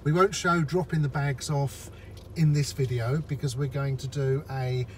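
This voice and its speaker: A man's voice: mid-range voice, steady tone, moderate pace, darkish tone. Chatty man narrating from a car. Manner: chatty, explains each step to viewers. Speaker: vlogger. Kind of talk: casual narration while driving. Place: inside a car in traffic. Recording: in-car recording with road noise.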